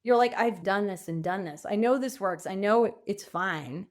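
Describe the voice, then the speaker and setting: A woman's voice: mid-range voice, moderate pace. A woman answering as a guest coach in a podcast interview, speaking informally and emphatically, often acting out quoted thoughts.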